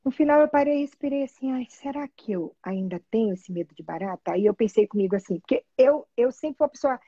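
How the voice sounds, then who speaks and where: A middle-aged Brazilian woman telling personal stories casually, in an animated, emphatic, chatty way over a video call. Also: fairly high pitch, fast talker, breathy edge, no echo. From Salvador, Brazil. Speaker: guest, therapy trainee telling her experience. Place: small room at home, indoors. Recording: dull, lo-fi video call audio.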